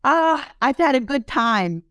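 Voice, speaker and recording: A woman's voice: high-pitched, animated, slow, a bit bright, no echo, studio quality. A woman hosting a podcast in casual interview chat, talking loosely with many ums and fillers. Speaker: podcast host.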